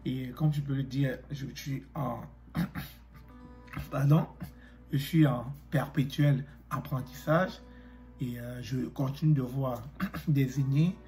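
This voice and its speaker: Guy with a cold giving a motivational vlog talk, mellow voice, lots of euhs, faint music behind. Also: mid-range voice, steady tone, slow, long pauses. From Africa. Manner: hesitant, many fillers, direct address. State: has a cold, clears throat. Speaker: YouTuber.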